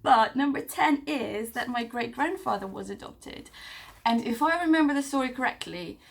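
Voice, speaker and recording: A woman's voice: on the high side, animated, moderate pace, creaky, slightly rough. Chatty Serbian-born woman in her twenties. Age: 20s. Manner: chatty, rambling, frequent fillers and self-corrections. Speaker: vlogger. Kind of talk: casual personal vlog.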